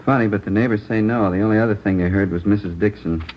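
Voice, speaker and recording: A man's voice: lowish voice, fast, slight background noise, lightly acted. An actor playing a male police lieutenant who steadily reports what neighbors heard on a murder night, in a 1950s radio crime drama. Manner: steady, methodical report reading. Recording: dull old radio broadcast recording.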